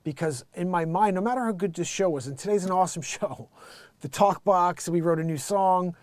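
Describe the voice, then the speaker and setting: A man hosting a casual live stream, rambling to his viewers in an animated, boastful and emphatic way. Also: sits high, brisk, no room sound. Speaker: live-stream entertainer and host.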